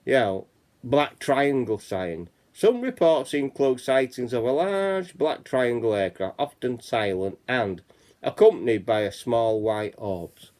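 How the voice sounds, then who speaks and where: A man's voice: mid-range voice, sing-song, a little slow, darkish tone. A man with a Yorkshire accent hosting a casual chat channel, reading out reports and rambling, often saying "you know what I mean". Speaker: channel host.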